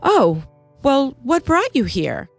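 A woman voicing an eager, chatty and inquisitive woman neighbor who asks quick, bubbly questions. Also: high voice, huge pitch swings, gravelly, faint music behind, voice pushed up, heavily acted.

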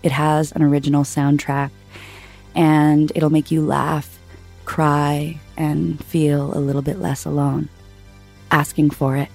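A woman reading an intimate, deadpan scripted promo for a podcast. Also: low voice, slow, vocal fry, faint music behind.

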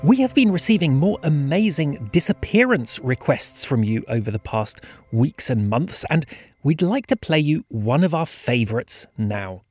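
A man's voice: a little high, sing-song, moderate pace, a little mellow, husky, soft background music. A man hosting a podcast, reading scripted thanks and announcements.

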